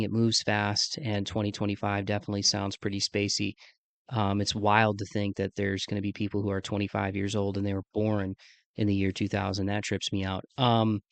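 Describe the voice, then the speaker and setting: A man's voice: on the low side, clean tone, no room sound. A man in his mid-thirties with a congested voice, chatting casually and slangily as the host of a podcast. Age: mid-30s. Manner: laid-back slangy talk, says "man" often. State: congested from sinus trouble, voice deeper than usual.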